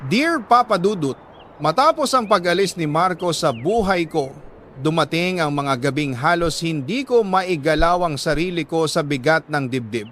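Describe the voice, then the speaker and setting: A Filipino man reading a listener's letter as a radio host, in solemn, sentimental dramatic narration with deliberate pauses. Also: a little high, expressive, a bit bright, a little hiss or hum. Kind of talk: dramatic scripted narration of a confessional love letter.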